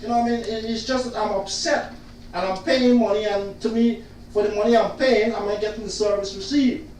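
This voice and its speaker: Loud, insistent man, high voice. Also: fairly even intonation, brisk, heavy reverb, far from the mic, slight background noise, rough recording. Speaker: client complaining about his solicitor. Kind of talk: casual venting monologue.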